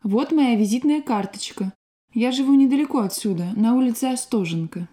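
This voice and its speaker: A woman reading scripted sentences and exercise prompts for a language course in a clear, measured way. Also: a little high, mellow. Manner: clear, measured, textbook delivery.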